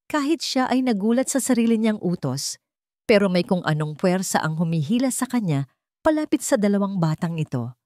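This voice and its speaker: A woman's voice: medium pitch, very animated, fairly quick, darkish tone, no room sound, studio quality. A woman narrating a scripted romance drama in a theatrical, suspenseful way. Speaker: story narrator.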